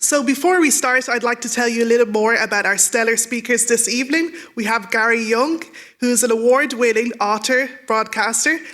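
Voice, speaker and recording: A woman's voice: a little high, brisk, fairly bright tone. A young adult woman moderating a live book-festival talk, giving upbeat, polished introductions of the guests. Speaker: event moderator and broadcaster. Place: live event stage.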